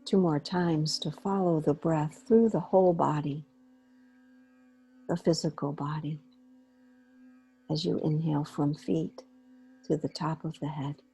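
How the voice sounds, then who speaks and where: A woman leading a guided meditation in a gentle, unhurried way, pausing between short phrases. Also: sits low, steady tone, breathy edge, rough recording. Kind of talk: guided meditation, slow soothing instruction.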